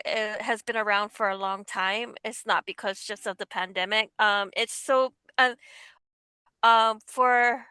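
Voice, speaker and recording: Bright, clear-voiced woman explaining casually. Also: fairly high pitch, dry recording. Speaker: panelist, voice actor. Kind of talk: casual group discussion.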